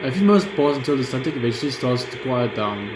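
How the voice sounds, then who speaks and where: A young man plays a visual novel and reads its text out loud. His delivery is informal and stumbles now and then. The room echoes and there is clear noise behind him. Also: mid-range voice, animated, quick pace. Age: young adult. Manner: informal, stumbles while reading. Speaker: let's player. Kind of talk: reading visual novel text aloud with casual reactions. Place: indoor room at home. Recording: roomy echo, clear background noise.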